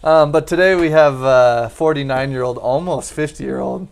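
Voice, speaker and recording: Creaky-voiced man hosting casual banter. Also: medium pitch, normal speed. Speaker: podcast host. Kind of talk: casual chat, joking banter.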